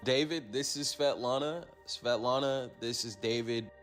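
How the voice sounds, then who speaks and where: A man's voice: mid-range voice, unhurried, a bit bright, soft background music. A man hosting a show, explaining things in a conversational way. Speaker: show host. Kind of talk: presenting.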